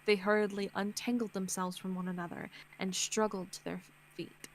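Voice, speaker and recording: A woman reading visual novel narration aloud on a game stream in an expressive way, close to the mic. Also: mid-range voice, moderate pace, a little hiss or hum, poor audio. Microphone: close streaming mic, dry sound.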